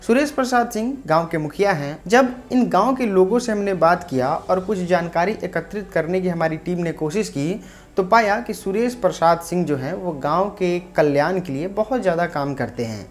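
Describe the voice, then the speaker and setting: A woman's voice: lowish voice, expressive, moderate pace. A woman presenting a local news report, narrating and speaking directly to viewers. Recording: faint music bed behind voice.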